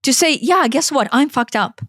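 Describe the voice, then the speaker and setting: Emphatic Ukrainian-accented woman, high voice. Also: expressive, normal speed, fairly bright tone, no echo, studio quality. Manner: emphatic, blunt, swears freely. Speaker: podcast co-host. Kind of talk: impassioned self-help talk.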